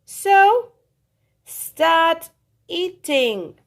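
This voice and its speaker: A woman voicing a wise, firm old village witch in a storybook, stern and deliberate. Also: very high voice, very animated, vocal fry, voice pushed up, full character voice. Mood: stern, knowing.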